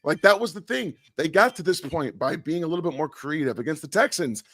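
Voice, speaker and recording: A man's voice: fairly high pitch, animated, a little fast, fairly bright tone, dry recording. A man co-hosting a sports analysis show while sick and losing his voice, blunt and repeating his key points. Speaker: co-host analyst. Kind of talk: post-game sports analysis.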